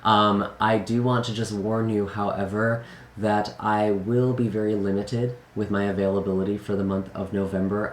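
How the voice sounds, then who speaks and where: Soft-spoken man, slightly raspy, roomy sound. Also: on the low side, a little hiss or hum. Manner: gentle, earnest, many fillers. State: recovering from illness. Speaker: tarot reader. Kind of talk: casual channel intro.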